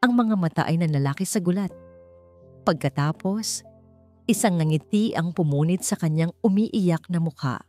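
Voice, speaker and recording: A woman's voice: fairly low pitch, animated, moderate pace, warm tone. A woman narrating a romance story in a scripted, dramatic storytelling style. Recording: music under the voice. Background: background music.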